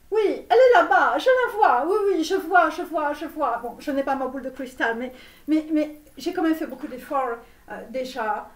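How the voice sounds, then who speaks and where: A woman playing a comic online tarot reader with a put-on Romanian accent, insistent and repetitive, halting theatrically as she reassures her viewers. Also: high-pitched. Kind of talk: parody tarot reading spoken to viewers. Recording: roomy echo, distant microphone.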